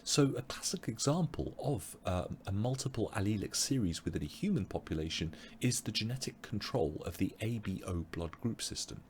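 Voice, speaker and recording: A man teaching an online biology revision webinar, explaining step by step with frequent pauses, his voice a little strained as he loses it. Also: on the low side, lively intonation, darkish tone, a little hiss or hum. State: losing his voice. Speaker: biology tutor.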